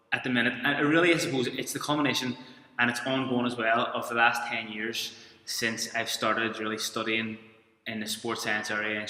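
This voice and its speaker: A man's voice: medium pitch, sharp tone. Belfast man talking in an echoey room. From Belfast, Northern Ireland. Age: 30s. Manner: informal, hesitant, frequent restarts and fillers. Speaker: strength and conditioning coach. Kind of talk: webinar presentation. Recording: echoey room with long reverb.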